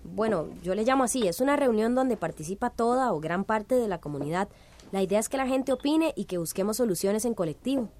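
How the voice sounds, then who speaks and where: An actress playing a friendly, curious village organiser in a radio drama, chatty. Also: female voice, mid-range voice, animated, brisk, darkish tone, slight background noise, close to an everyday voice. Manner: chatty, encouraging.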